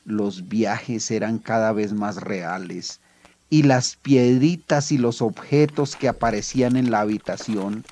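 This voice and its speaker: A man's voice: fairly low pitch, a little slow, dark tone, a little hiss or hum. A man with a Colombian accent, a writer reading his own literary short story aloud.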